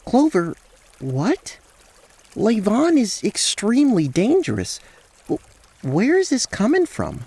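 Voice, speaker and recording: A man voicing a sweet, earnest, easily flustered young talking goat in a fantasy audio drama, with folksy, stammering, gentle speech. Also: high-pitched, wildly swooping pitch, slow, pauses often, breathy edge, voice pushed up, exaggerated voice. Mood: alarmed.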